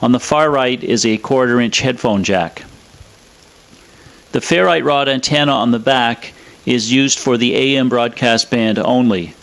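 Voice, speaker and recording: A man presenting a scripted technical explainer in a measured, matter-of-fact way. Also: medium pitch, vocal fry, a bit bright. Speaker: presenter of an electronics review.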